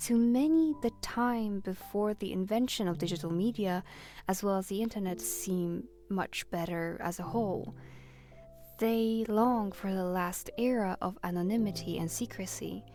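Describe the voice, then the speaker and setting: Female video essayist, mid-pitched and mellow, reading reflective scripted narration earnestly in measured phrases. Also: soft background music.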